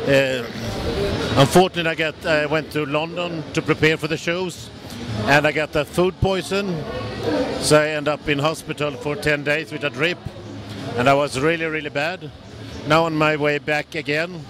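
Swedish man talking haltingly at expo. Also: fairly high pitch, steady tone, crowd noise. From Sweden. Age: 40s. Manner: halting, non-native grammar, frequent restarts. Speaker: bodybuilder guest. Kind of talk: casual interview answers. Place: busy expo hall booth. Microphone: handheld mic held by interviewer. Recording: noisy trade show floor.

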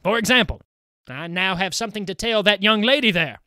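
A man's voice: high voice, animated, brisk, sharp tone, dry recording. A man voicing a sly, mocking male water spirit who speaks with grand self-importance.

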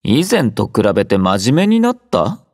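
A sleepy teasing young army doctor. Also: male voice, fairly low pitch, normal speed, dry recording, clean studio sound, full character voice. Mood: easygoing teasing. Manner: relaxed, drowsy, gently teasing. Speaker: game character voice lines. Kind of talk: game voice collection. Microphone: close studio mic.

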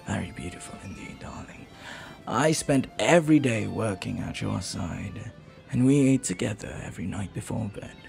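A man playing a flirty, silver-tongued pirate in a romantic audio roleplay, tender and teasing, sometimes dropping to a breathy murmur. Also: medium pitch, a little mellow. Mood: wistful, tender. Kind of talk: romantic audio roleplay acting, intimate second-person monologue.

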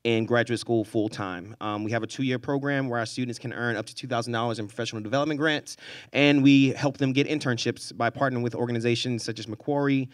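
An energetic man in his thirties, from Houston, introduces himself on a panel stage through a handheld mic. His voice sits at an ordinary pitch and the sound is close and dry. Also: fast, dry recording. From Houston, Texas. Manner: energetic, rallies the audience. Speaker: panelist, nonprofit director. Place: stage at a large event space. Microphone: handheld microphone.

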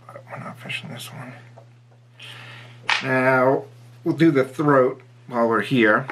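A man's voice: mid-range voice, slow, fairly bright tone, slight background noise. A middle-aged man giving a casual fly tying tutorial at his desk, thinking aloud and dropping to mutters while he works.

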